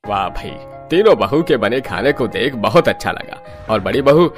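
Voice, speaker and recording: A man's voice: medium pitch, lively intonation, moderate pace, faint music behind, lightly acted. A man voicing an older, fault-finding father-in-law in a cartoon story. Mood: appraising.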